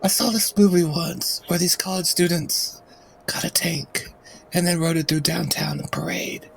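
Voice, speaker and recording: A man's voice: on the high side, fairly even intonation, normal speed, gravelly, a little hiss or hum, poor audio. A man playing a tabletop role-playing game, switching between improvised in-character talk and narrating his character's actions.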